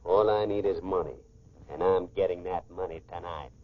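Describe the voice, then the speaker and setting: A tinny old radio recording of an actor as a ruthless young American gangster. His voice is very low, and he talks in a cocky, commanding, curt way, scheming like a born killer. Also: moderate pace, close to an everyday voice. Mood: gloating, scheming. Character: scheming, ruthless young male athlete turned bandit killer. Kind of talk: 1940s radio crime drama acting.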